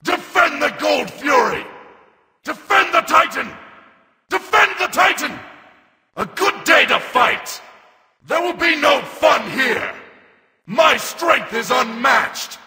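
A battle-hungry mechanical reaper from a video game. The voice is extremely high for a male and very tense, with a gravelly edge. Short commands are barked grimly and forcefully. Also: animated, slow pace, pauses often, big-room sound, full character voice. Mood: commanding, battle-fierce. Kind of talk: video game character callouts.

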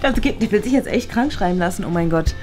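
A woman's voice: mid-range voice, animated, quick pace, loud music behind the voice, natural voice. A woman playing a cheeky, dismissive schoolgirl with casual youth slang in a children's school story. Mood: amused, gossipy.

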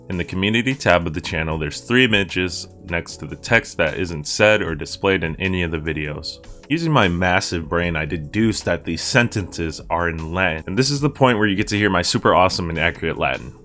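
A man's voice: low-pitched, fairly bright tone. A young man narrating a casual scripted video essay in an irreverent, joking manner. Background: music with guitar under the voice.

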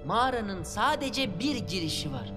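An earnest young boy guardian voiced by a woman in a cartoon adventure. He is fussy and dramatic, his pitch leaping about. Music runs clearly behind him. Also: very animated, moderate pace, natural voice. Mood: earnest explaining. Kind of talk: dubbed children's animated adventure.

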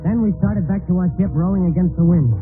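A resolute wartime chief mate acted by a man tells his sea story in 1940s radio drama style. The voice is high for a man. Loud music sits behind a thin, tinny old broadcast. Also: fast talker, natural voice. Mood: tense recounting. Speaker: actor narrating as the main character.

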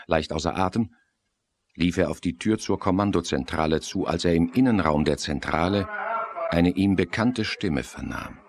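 A man's voice: low voice, animated, moderate pace, a crowd in the background. A man reading scripted narration for a thriller audio drama.

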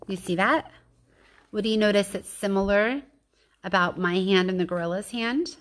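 A woman's voice: mid-range voice, normal speed. A woman reading a children's picture book aloud like a teacher, explaining things with informal asides and questions to her listeners.

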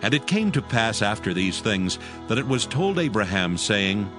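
A man narrating scripture in a solemn, measured and formal scripted style. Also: on the low side, sing-song, music in the background.

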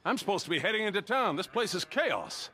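Harried ranch boss, forceful edgy tone, creaky, stern, demanding, western game. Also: male voice, high voice, sing-song, fairly quick, full character voice. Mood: exasperated. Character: harried, exasperated, commanding ranch owner and father. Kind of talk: video game western acting.

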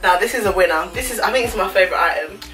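A woman's voice: mid-range voice, very fast, a bit bright, distant mic, faint music behind, low-quality recording. Bubbly woman in a bedroom. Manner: bubbly and gushing, with slangy asides. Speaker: fashion vlogger. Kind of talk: casual try-on haul chat. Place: small bedroom indoors. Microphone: camera on a stand a few feet away.

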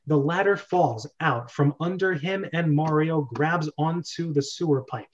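A man's voice: on the high side, a little slow, lo-fi sound. A man in his thirties hosting a script read-through, narrating screenplay directions and chatting casually over a video call. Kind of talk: reading screenplay directions amid casual chat. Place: bedroom at home.

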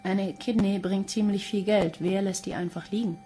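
A woman's voice: medium pitch, fairly even intonation, moderate pace, warm tone, faint music behind, natural voice, low-quality recording. A woman playing a sceptical, probing police interrogator with a clipped manner in a German crime radio play. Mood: stern, probing.

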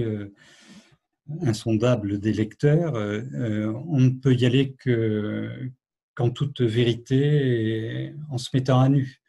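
Male novelist, mellow breathy voice, thoughtful and halting, over a video call. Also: medium pitch. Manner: reflective, hesitant, many fillers and restarts. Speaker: novelist, guest. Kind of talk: online literary conversation.

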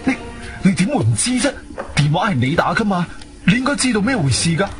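An actor playing an earnest, concerned adventurer-investigator, both narrating and speaking in a Cantonese radio drama. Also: male voice, high-pitched, big pitch swings, brisk, music in the background. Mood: concerned, urgent.

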